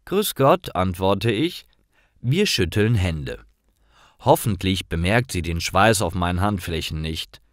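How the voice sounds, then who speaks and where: A man reading scripted stories for language learners as narrator, in simple, clearly phrased storytelling. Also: sits low, expressive, a little slow, no echo, studio quality.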